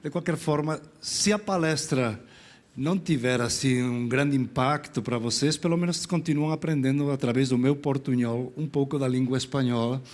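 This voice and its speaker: A middle-aged man from Spain with a Spanish accent, Spanish as his first language, giving a relaxed, self-deprecating conference talk in Portuguese with frequent restarts. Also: medium pitch, normal speed. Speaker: lecturer.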